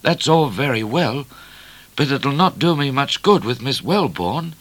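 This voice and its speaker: A man's voice: mid-range voice, lively intonation, unhurried, fairly bright tone, pitched above the performer's own voice. A man voicing an earnest, easily wounded young outlaw of twenty in a storybook reading. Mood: aggrieved. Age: young adult figure of twenty. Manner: earnest, cross, aggrieved. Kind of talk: storybook character voice.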